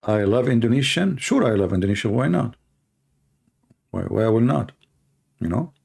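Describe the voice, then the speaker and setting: A man from the Middle East, a native Arabic speaker with an Arabic accent, hosting an informal live call-in show in a sarcastic, mocking manner with halting phrasing. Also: on the low side, big pitch swings, gravelly, a little mellow, slightly rough. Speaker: host, religious apologist. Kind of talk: live call-in broadcast, rambling informal commentary.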